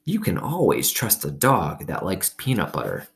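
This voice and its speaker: A man's voice: low voice, wildly swooping pitch, normal speed, gravelly, exaggerated voice. Male reader as elderly woman, kindly, easygoing, storybook character. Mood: friendly.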